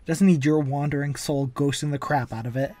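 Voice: mid-range voice, fairly even intonation, fairly quick, warm tone, no echo, close to an everyday voice. A performer voicing a wisecracking, manic masked antihero in a comic-book dub, flippant and theatrical but caring underneath. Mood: flippant, joking. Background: background music.

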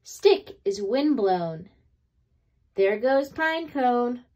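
A woman with a fairly high voice reads a picture book to children. Her delivery is very slow and deliberate, with dramatic pauses between phrases and a lively, swooping melody. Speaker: read-aloud storyteller. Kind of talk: expressive children's picture book read-aloud.

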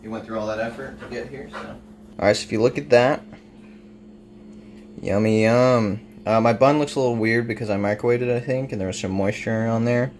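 A man's voice: medium pitch, fairly even intonation, a little fast, darkish tone. A young man in his twenties, chatting casually with lots of fillers as he cooks and tastes food in a home kitchen. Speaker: home cooking vlogger. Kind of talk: casual cooking vlog. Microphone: camera on the counter, across the kitchen. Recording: roomy, distant camera audio with noise.

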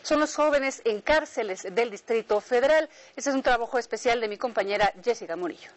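A woman's voice: fairly high pitch, a little fast. A woman anchor in a TV studio, introducing a report in a composed, conversational way. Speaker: news anchor. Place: television news studio.

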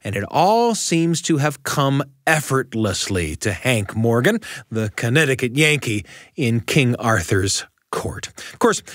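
An American man presents a radio show like a storyteller. His mid-pitched voice swings widely, with dramatic pauses, in a dry, close recording. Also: a bit bright, no echo. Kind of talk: expressive scripted storytelling narration.

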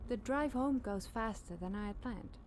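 A woman's voice: on the high side, normal speed. Woman narrating a scary story, noisy background. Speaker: podcast storyteller.

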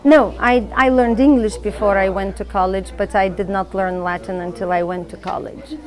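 A middle-aged woman with a Bulgarian accent, a Latin teacher answering interview questions in a classroom in a thoughtful, measured way. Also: mid-range voice, steady tone. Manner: thoughtful, measured, with soft fillers.

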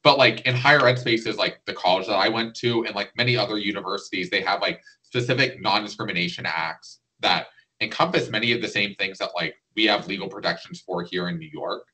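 A man's voice: lowish voice, brisk, bright, forceful tone, low-quality recording. A young man from Central Florida speaking as a guest on a video call, casual with many likes.

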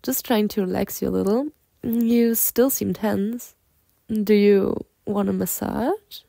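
A woman's voice: medium pitch, fairly even intonation, slow, gravelly, no room sound. A woman playing a flirty, teasing, confident half-snake lamia in an ASMR roleplay, coaxing the listener. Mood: reassuring, flirty. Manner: flirtatious, coaxing, breaking into soft giggles and whispered asides.

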